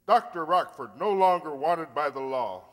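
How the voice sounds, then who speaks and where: A man acting a folksy, friendly Old West sheriff with drawn-out delivery, in a staged old-time radio play. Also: fairly high pitch, lively intonation, fairly bright tone. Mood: grateful, folksy. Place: stage in a community hall. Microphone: stand microphone. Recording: very echoey hall stage.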